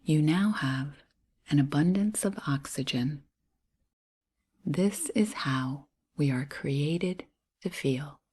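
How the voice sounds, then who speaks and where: A low-voiced woman leads a guided meditation at a very slow pace. Her voice is soft and breathy, with airy edges to the words. She speaks gently and soothingly, leaving space between short phrases.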